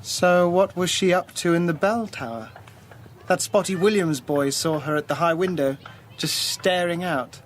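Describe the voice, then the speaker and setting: A posh snobby english schoolboy in a radio play, highish voice, sneery, bit of noise behind. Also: male voice, a little slow. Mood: sneering, suspicious. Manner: haughty, sneering, clipped. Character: snobbish, suspicious privileged English public schoolboy. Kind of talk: radio drama acting.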